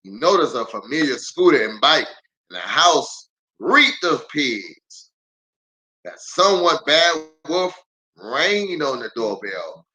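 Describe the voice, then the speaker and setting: A man who is a pastor reading a children's story aloud in an animated, theatrical way with drawn-out emphasis. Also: a little high, a little hiss or hum, poor audio.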